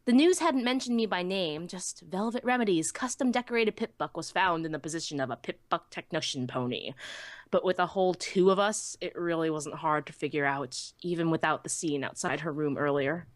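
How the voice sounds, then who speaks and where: A woman playing a small, anxious young female pony who narrates her own story in an expressive, earnest way. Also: on the low side, a little fast, dry recording, clean studio sound, natural voice. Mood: anxious, resigned. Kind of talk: dramatic first-person story narration.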